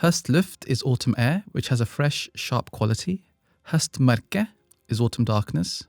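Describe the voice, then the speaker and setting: British male podcast host, scripted and playful, with a gravelly edge at a mid pitch. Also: expressive, a little slow, darkish tone, no room sound, studio quality. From Britain. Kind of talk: scripted educational narration.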